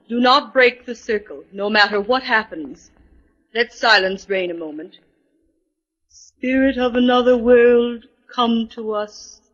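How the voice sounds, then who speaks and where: An actress playing an imperious, solemn princess who works as a spirit medium, in a theatrical 1940s radio drama style. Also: female voice, a little high. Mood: solemn, summoning. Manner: theatrical, solemn, slow incantations. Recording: dull old 1940s radio recording.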